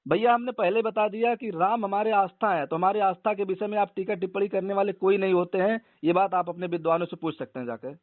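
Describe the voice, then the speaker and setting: High-pitched insistent man on a phone line. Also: fairly even intonation, fast talker, few pauses, no echo. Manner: argumentative, insistent, repeats himself. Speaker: organisation leader debating a caller. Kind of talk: heated phone debate. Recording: tinny narrowband phone call recording.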